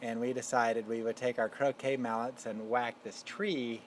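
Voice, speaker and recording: A man in his fifties, from Texas, tells stories from his childhood in an easygoing, halting manner full of fillers. His voice sits at an ordinary pitch for a man. He is recorded outdoors in a backyard, with faint outdoor noise behind him. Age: 50s. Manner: relaxed, reminiscing, halting with fillers. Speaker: interviewee. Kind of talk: casual family interview storytelling.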